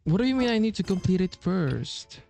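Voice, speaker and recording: A woman's voice: mid-range voice, big pitch swings, moderate pace, mellow, faint music behind. A woman giving casual, slangy playthrough commentary on a video game, swearing freely. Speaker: gaming streamer.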